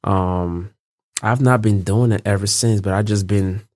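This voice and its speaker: A man's voice: fairly low pitch, steady tone, a little slow, darkish tone, dry recording, studio quality. A young man from Los Angeles, a guest in a casual interview, talking in a laid-back, rambling way with lots of fillers and restarts.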